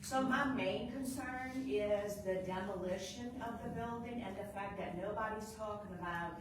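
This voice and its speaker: A woman's voice: mid-range voice, noisy background. An older grandmother with a New Orleans accent, giving a blunt, angry public comment in a council chamber. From New Orleans area. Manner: blunt, angry, drawn-out emphasis. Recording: echoey hall from far off.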